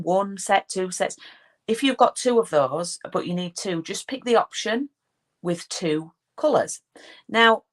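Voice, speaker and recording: Chatty Yorkshire woman, lowish voice. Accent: broad Yorkshire. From Yorkshire, England. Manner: chatty, greets viewers by name, frequent ums and restarts. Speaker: card-making demonstrator hosting a live stream. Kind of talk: casual live-stream craft chat.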